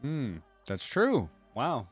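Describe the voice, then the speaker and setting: A man's voice: mid-range voice, wildly swooping pitch, drawn-out words, faint music behind. A young adult man giving casual reaction commentary, musing aloud in an informal way. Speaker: reaction video host.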